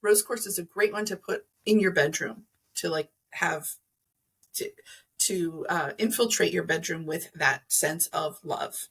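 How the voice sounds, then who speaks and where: A woman's voice: on the low side, a little slow, a bit bright, slightly rough. A woman speaking as a podcast guest, explaining things conversationally and rephrasing as she thinks aloud. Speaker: podcast guest, energy healer. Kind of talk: conversational explaining of crystals and chakras.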